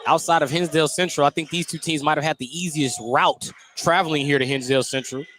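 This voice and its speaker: A male announcer calls a basketball game live for radio in a slightly high voice. He tracks the ball and the players as play moves. Faint noise of the gymnasium sits behind him. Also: steady tone, quick pace, a bit bright. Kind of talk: live radio sports commentary. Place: indoor gymnasium. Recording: noisy live gym broadcast. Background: gym noise, bouncing basketballs.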